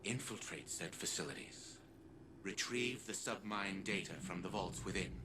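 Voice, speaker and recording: Man playing a deep, pompous artificial intelligence. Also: background noise, natural voice. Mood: imperious. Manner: pompous, formal, deliberate. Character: haughty old male artificial intelligence, cold and grandiose. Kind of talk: scripted video game dialogue.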